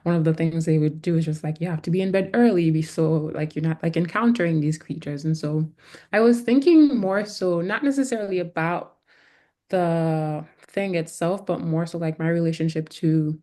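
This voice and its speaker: A woman's voice: deep voice, moderate pace, warm tone. A woman photographer from Haiti talking casually about their practice, thinking aloud in an exploratory way. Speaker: photographer, panel guest. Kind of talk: conversational artist talk.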